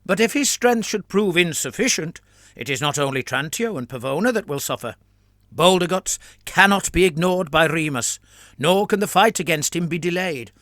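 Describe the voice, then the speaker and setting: A man's voice: fairly high pitch, a bit bright, dry recording, studio quality, voice pushed up. Man voicing a stern zealous priest. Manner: earnest, insistent, preaching. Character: stern zealous male priest, pleading for war. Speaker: senior priest.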